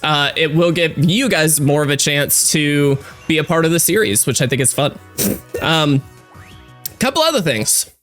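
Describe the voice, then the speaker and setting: A man's voice: on the high side, fairly bright tone. A man with a stuffy, congested voice giving chatty, upbeat let's-play commentary as a gaming creator, with lots of fillers. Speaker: gaming YouTuber.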